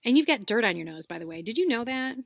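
A woman voicing a bossy, sniffy schoolgirl who lectures briskly and primly. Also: on the high side, big pitch swings, vocal fry, no room sound, studio quality, heavily acted.

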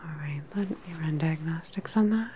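A woman in her thirties playing a calm, clinical evil scientist in a whispered sci-fi ASMR roleplay, breathy and measured, close to the microphone. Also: low-pitched, slight background noise, lightly acted. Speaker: ASMR performer. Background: sci-fi lab ambience.

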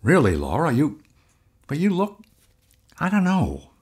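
A man acts out a worried, soothing man in an audio drama. His husky voice moves very widely in pitch, with a hesitant, coaxing manner. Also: huge pitch swings, a little slow, exaggerated voice. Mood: puzzled, concerned. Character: Pete, concerned man soothing a distressed woman.

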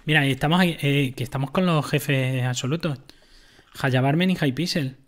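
A man's voice: medium pitch, normal speed. A man giving casual commentary on a game, explaining items to his viewers. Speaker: gaming streamer.

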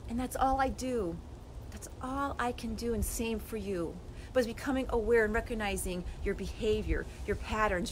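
A woman in her forties giving an earnest, animated motivational talk to a phone camera on a lawn outdoors. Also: on the high side, slight background noise, rough recording. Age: 40s. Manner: earnest, animated, gestures with both hands. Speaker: life coach vlogger. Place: outdoors on a lawn by bare woods. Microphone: phone camera at arm's length.

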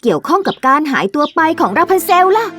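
A woman's voice: sits high, huge pitch swings, fast talker, fairly bright tone, soft background music, voice pushed up, heavily acted. A woman voicing a loyal, earnest unicorn who exclaims with worry and determination in a children's fairy tale cartoon. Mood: worried. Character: loyal unicorn hero friend, worried but determined.

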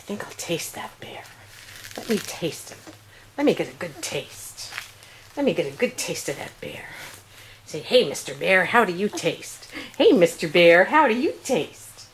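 A woman's voice: lowish voice, big pitch swings, unhurried, background noise. An older woman playing with a baby grandchild at home, cooing and chanting sing-song baby talk. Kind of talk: baby talk and singsong play. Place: bedroom in a home. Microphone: handheld home camera held by someone else.

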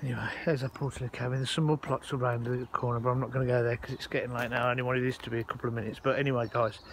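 A man's voice: mid-range voice, little pitch movement, very fast. A man chatting informally and rambling as he narrates a casual walk-around vlog on a handheld phone outdoors. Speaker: allotment vlogger. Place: outdoors on an allotment, walking. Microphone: handheld phone held while filming. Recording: noisy outdoor phone recording.

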